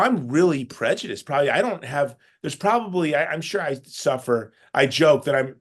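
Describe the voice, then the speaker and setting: Guest voice: middle-aged man from the Chicago suburbs, animated, rambling storyteller with lots of self-corrections. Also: medium pitch. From Chicago south suburbs. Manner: animated, loose storytelling with frequent restarts. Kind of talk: casual conversation.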